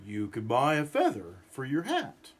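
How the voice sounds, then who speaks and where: A man's voice: medium pitch, huge pitch swings, normal speed, pitched below the performer's own voice. A man as a mild, coaxing storybook pony. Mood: patient coaxing. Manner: patient, gently suggesting. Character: mild, coaxing pony who turns melodramatically wounded. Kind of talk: storybook read-aloud.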